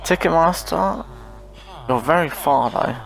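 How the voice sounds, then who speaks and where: Gamer chatting over gameplay, kinda slow n croaky, repeats little phrases, bit of music behind. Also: mid-range voice, low-quality recording. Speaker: gaming video host. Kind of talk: casual game commentary with rambling asides.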